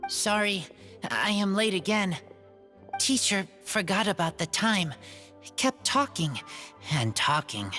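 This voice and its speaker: A gentle teenage boy raised wild, for a video game. He talks slowly and haltingly in short, simple phrases, with soft music underneath. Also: medium pitch, very animated. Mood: apologetic. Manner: halting, short simple sentences, plain-spoken. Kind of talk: video game character dialogue. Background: faint music.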